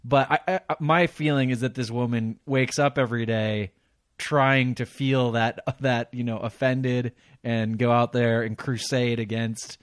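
A man's voice: medium pitch, fairly even intonation, measured pace, dry recording. Man, blunt, sarcastic, foul-mouthed, casual talk, dry close mic. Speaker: podcast co-host. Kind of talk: casual chat.

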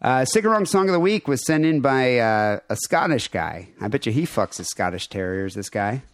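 A man's voice: medium pitch, sing-song, normal speed, no room sound, studio quality. A man co-hosting a podcast, rambling casually with crude, irreverent jokes and show plugs. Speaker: podcast co-host.

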